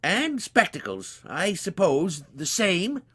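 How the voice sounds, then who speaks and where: A stubborn storybook old-timer in his nineties. The voice sits very high and swings widely up and down as he rambles and exclaims in plain, folksy words. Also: huge pitch swings, slow, bright, forceful tone, slight background noise, full character voice. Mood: wistful, stubborn. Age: 90s. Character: cranky, folksy ninety-one-year-old man, plain-spoken and stubborn. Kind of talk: dramatized storybook reading.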